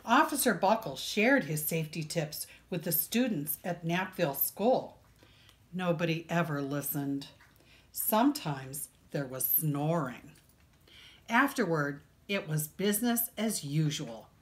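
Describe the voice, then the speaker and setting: A woman reading a picture book aloud to children as a librarian, with expressive storytelling and deliberate, dramatic emphasis on key words. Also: low-pitched.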